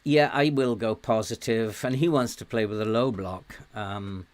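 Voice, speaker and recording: A man live-streaming a management game, thinking aloud with frequent ums and soft chuckles while greeting viewers; he has a sore throat and is losing his voice. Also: lowish voice, unhurried.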